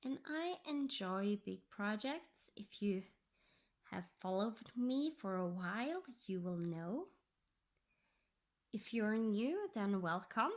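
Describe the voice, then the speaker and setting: Norwegian lady talking slow about her stitching, soft and half whispery, english not her first language. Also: medium pitch, big pitch swings. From Norway. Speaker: cross-stitch vlogger. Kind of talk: casual tutorial chat.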